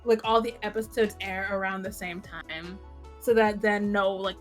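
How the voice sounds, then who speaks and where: A woman's voice: medium pitch, fairly even intonation, normal speed. A woman hosting a casual podcast, talking in a rambling, informal way with lots of "like". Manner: rambling, heavy use of "like". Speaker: podcast host. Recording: music behind the voice.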